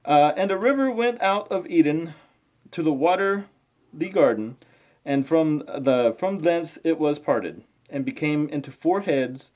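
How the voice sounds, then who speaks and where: A man's voice: on the high side, animated, measured pace, lo-fi sound. A man reading scripture aloud, stumbling over unfamiliar words and dropping in casual, conversational comments.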